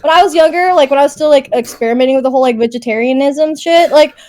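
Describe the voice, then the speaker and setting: A woman's voice: sits high, fast, darkish tone. A young woman in casual chat, rambling with lots of "like" and casual swearing. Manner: rambling, heavy "like", swears freely. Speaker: podcast co-host.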